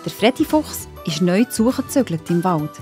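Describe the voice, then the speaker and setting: A woman's voice: lowish voice, big pitch swings, moderate pace, a little mellow. A woman with a Swiss German accent narrating a children's story. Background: music.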